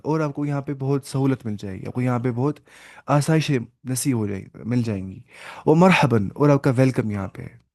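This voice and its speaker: A man teaching an online language class over a video call. He explains patiently, repeats points, and slowly sounds out each example phrase. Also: mid-range voice, a little mellow, no echo, clean studio sound. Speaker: Arabic teacher.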